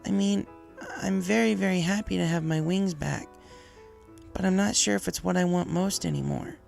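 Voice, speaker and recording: A man voicing a brash young female pegasus pony, earnest and blunt, in a creaky voice for a story reading. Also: high-pitched, normal speed, a little mellow, soft background music, pitched above the performer's own voice. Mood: hurt, tender.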